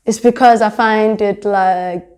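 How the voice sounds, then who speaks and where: A woman's voice: medium pitch, a little mellow. A young woman from Denmark talking casually in an interview as a designer, explaining herself in a reflective way. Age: young adult. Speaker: interviewee, fashion designer and entrepreneur.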